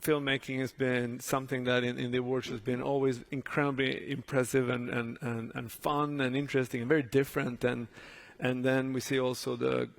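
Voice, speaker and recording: A man's voice: mid-range voice, flat delivery, fairly quick, gravelly, hardly any pauses. A man with a Swedish accent, a native Swedish speaker, talking off the cuff as a panel juror, repeating words as he thinks. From Sweden. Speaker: jury member on a panel. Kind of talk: unscripted panel talk.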